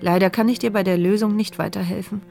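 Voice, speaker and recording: A woman's voice: mid-range voice, fairly even intonation, quick pace, creaky, darkish tone, close to an everyday voice. An actress giving the inner voice of a mute, paralysed woman in a radio play, with music playing behind. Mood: alarmed.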